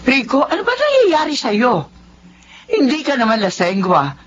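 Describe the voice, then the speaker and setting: A woman's voice: high voice, huge pitch swings, normal speed. An actress playing a practical, caring wife and mother who questions her husband, in a Filipino radio drama. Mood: upset. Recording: muffled, noisy radio drama recording.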